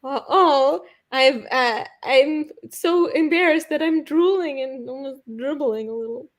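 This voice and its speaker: A woman's voice: sits high, a little slow. A woman competing in a pun contest, bantering playfully with hesitant fillers and giggles over a video call. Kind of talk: quick-fire pun banter.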